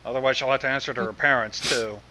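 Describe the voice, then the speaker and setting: A man's voice: medium pitch, fairly even intonation, quick pace, sharp tone, low-quality recording. A man chatting casually and reacting as a co-reader during a group story reading. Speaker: co-reader making comments.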